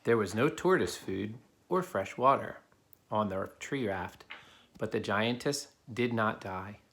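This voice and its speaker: A man's voice: fairly low pitch, lively intonation, a little slow, a bit bright. A man reading a children's picture book aloud, explaining things simply as he talks to a child. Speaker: adult reading to a child helper. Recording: clear background noise.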